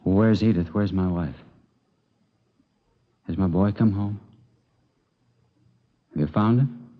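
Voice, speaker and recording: A man's voice: low voice, slow pace. An American man playing an anguished father of a young son in a 1950s radio drama, emotional and faltering. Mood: anxious, urgent. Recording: muffled old radio recording with music.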